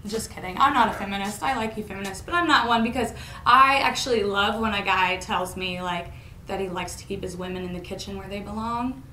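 A young woman from Indiana doing a stand-up comedy set, deadpan and self-mocking, often laughing at her own jokes, recorded by a camera out in a lecture hall. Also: mid-range voice, fairly quick. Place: college lecture hall stage. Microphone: far camera in the room. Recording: echoey hall, audible room noise.

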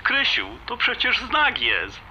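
Man acting as smitten suitor, very bright forceful voice, animated swings, eager, radio drama. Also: very high-pitched, slow pace, lo-fi sound, slight background noise, heavily acted. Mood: excited, smitten. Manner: eager, colloquial, mixes in English words. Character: enthusiastic, romantic suitor Jasiek, sure fate joins him. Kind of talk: audio drama dialogue.

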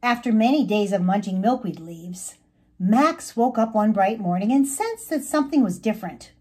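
A woman reading a children's story aloud as its author, expressive and emphatic, drawing out words. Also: mid-range voice, slightly rough. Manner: animated, emphatic, drawn-out words.

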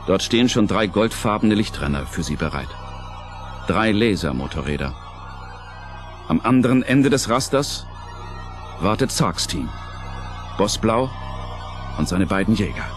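A man narrating a radio play from a script, suspenseful and dramatic, with emphatic pauses. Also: sits low, lively intonation. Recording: music under the narration.

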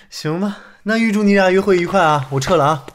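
A man's voice: sits high, normal speed, slight background noise, natural voice. A man playing a young, inquisitive detective in a casual conversational style for an audio drama. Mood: playful. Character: inquisitive young male detective trusting his gut.

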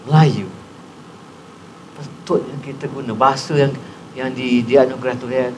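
A man's voice: mid-range voice, fairly even intonation, unhurried, poor audio. Man in his 60s, Patani Malay accent, earnest explainer, faint background hiss. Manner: earnest, gesturing, explaining point by point. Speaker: guest. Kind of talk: explanatory interview talk. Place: TV studio set, on a couch. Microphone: lapel mic.

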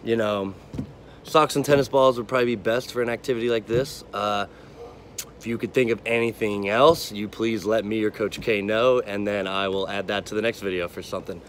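A man's voice: fairly low pitch, steady tone, fairly quick. A man in his twenties with an American accent, a coach giving casual instructions to kids on a phone outdoors. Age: 20s. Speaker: sports coach. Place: outdoor backyard. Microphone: phone, at arm's length, then farther away. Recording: outdoor noise, phone video.

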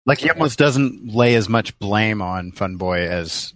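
A man's voice: on the low side, lively intonation, measured pace, fairly bright tone, no room sound. A man co-hosting a podcast in a casual, conversational style. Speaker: podcast co-host.

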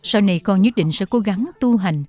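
A woman's voice: medium pitch, fairly quick, darkish tone, faint music behind, close to an everyday voice. A woman voicing an earnest, deferential disciple who puts eager questions to her teacher in a cartoon, with echoey reverb. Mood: earnest, curious. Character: earnest, respectful woman disciple of an old monk. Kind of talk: dubbed animated religious biography.

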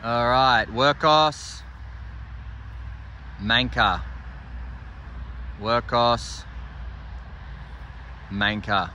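Guy, 30s, bright forceful voice, chatty gear reviewer at his desk. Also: medium pitch, extremely slow, long pauses, slight background noise. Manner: chatty and enthusiastic, thinking aloud. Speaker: flashlight reviewer. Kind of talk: casual product review. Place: workshop desk indoors, then outdoors at night.

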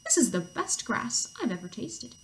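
Woman voicing a scoffing, high-pitched storybook goat. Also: very animated, moderate pace, slight background noise. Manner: scoffing, bleating. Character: stubborn, indifferent goat, excitable once alarmed.

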